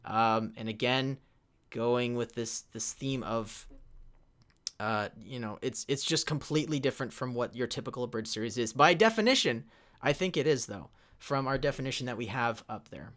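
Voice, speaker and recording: A man's voice: mid-range voice, moderate pace, a bit bright. A man presenting a convention panel in an informal way, recounting a history with hesitant starts and emphatic bursts.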